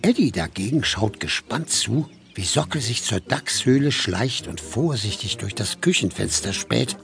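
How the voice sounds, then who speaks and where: A man with a gravelly voice and a standard German accent, narrating a children's story in an animated way. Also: medium pitch, measured pace, faint music behind.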